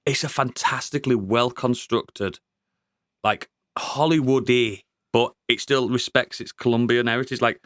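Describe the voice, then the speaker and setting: A man co-hosting a podcast, chatting casually about a TV show, sweary and excitable, laughing often. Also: medium pitch, a little slow, no room sound, studio quality. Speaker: podcast co-host. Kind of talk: casual review chat.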